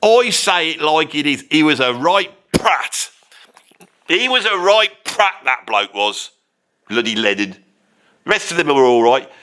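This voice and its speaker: A man putting on a loudmouthed Birmingham bloke who rants bluntly and swears freely, every opinion delivered as gospel. His voice sits a touch high and sounds bright and forceful. A comic character monologue with a thick Brummie accent. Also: sing-song, a little slow, a little hiss or hum, full character voice. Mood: ranting.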